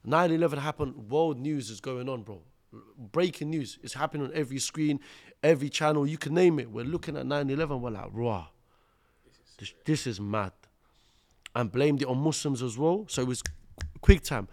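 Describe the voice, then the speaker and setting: A man's voice: mid-range voice, moderate pace, a little mellow. A man in his thirties, born in Iraq, telling his life story as a podcast guest in street slang, calling the host "bro" and "akhi". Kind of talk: casual storytelling interview.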